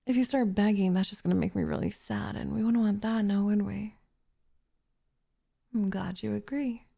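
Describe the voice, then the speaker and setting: A woman's voice: medium pitch, steady tone, moderate pace, gravelly, warm tone. A woman voicing a doting, possessive witch in an intimate ASMR roleplay, cooing and teasing with smug condescension, often slipping into a whisper close to the microphone. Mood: soothing, possessive. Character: doting, possessive young witch turning captive into pet cat.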